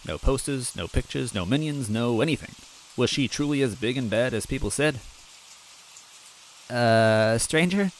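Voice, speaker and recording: A man reading a first-person story aloud in a soft, wistful, gently comic way. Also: mid-range voice, slight background noise, low-quality recording.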